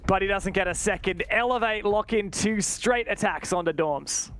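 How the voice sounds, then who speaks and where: High-voiced man calling esports play-by-play. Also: normal speed, a bit bright, slight background noise. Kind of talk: live game commentary.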